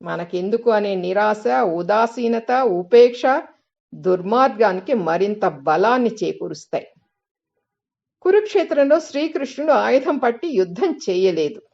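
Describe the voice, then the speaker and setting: A woman reading a scripted spiritual essay as narration, with measured, deliberate phrasing and pauses. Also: mid-range voice, expressive, gravelly, a little mellow, dull audio. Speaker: reader of literary excerpts.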